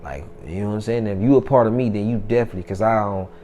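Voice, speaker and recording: Rapper guest, twenties, low steady voice, loose swaggering street talk, light background noise. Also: male voice, racing. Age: 20s. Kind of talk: casual interview talk.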